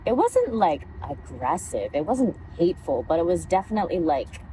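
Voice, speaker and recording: A young woman from the East Coast in relaxed casual conversation, easygoing and quick to laugh. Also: fairly low pitch. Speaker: voice actress, guest. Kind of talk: casual chat. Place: outdoors in a park. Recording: noisy outdoor recording with traffic.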